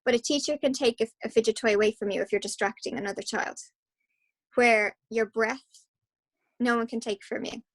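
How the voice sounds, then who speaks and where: An Irish woman speaking as a guest hypnotherapist, passionate and animated, with quick restarts. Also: fairly high pitch. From Ireland. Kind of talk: casual interview talk.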